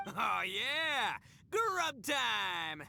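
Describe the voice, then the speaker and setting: Boisterous young game brawler, big swoopy bright voice, brash n goofy, music under it. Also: male voice, sits high, very animated, drawn-out words, creaky, a little hiss or hum, exaggerated voice. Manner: brash, slangy, exaggerated. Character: loud, boastful, good-hearted young male brawler, dim but cheerful. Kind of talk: video game voice acting. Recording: music playing behind the voice.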